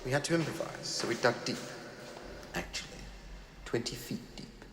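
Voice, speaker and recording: A man's voice: mid-range voice, moderate pace, a bit bright, a little hiss or hum, close to an everyday voice. An actor playing a wry, secretive British intelligence man with clipped, jovial, old-fashioned phrasing in an audio drama. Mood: wry, brisk. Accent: British English.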